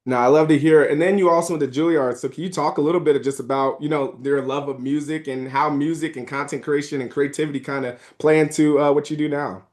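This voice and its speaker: A man hosting a podcast interview, talking in a relaxed, casual way with many fillers. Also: fairly high pitch, quick pace, few pauses. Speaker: podcast host.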